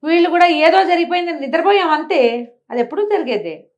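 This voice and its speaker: A woman's voice: very high voice, animated, a little fast, darkish tone, dull audio. A woman telling a story in a conversational way, posing rhetorical questions as she narrates. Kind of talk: animated oral storytelling in a talk show.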